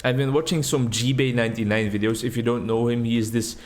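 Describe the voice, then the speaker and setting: A man's voice: medium pitch, steady tone, quick pace, darkish tone. Rambling Dutch-speaking man commenting on a game. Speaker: gaming video host. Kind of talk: casual gameplay commentary.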